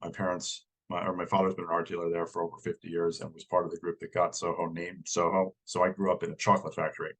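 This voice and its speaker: A man in his forties from New York, slightly croaky from a cold, giving a talk over a video call with frequent restarts. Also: low voice, level tone, fast talker, hardly any pauses, fairly bright tone, rough recording. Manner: hesitant, many restarts. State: hoarse from a cold. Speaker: guest speaker. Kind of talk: casual presentation.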